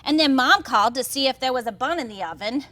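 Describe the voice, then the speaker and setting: An actress playing a chatty, wistful, put-upon 1950s housewife in a staged play reading, with an animated, expressive delivery. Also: female voice, sits high, fast talker, dry recording. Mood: sheepish. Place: theatre stage.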